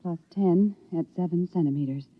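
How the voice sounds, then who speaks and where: An actress playing a cold, repressed genetics professor in a 1950s radio drama, with formal, commanding delivery. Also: female voice, fairly low pitch, moderate pace, natural voice. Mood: cool, clinical. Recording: dull old radio recording.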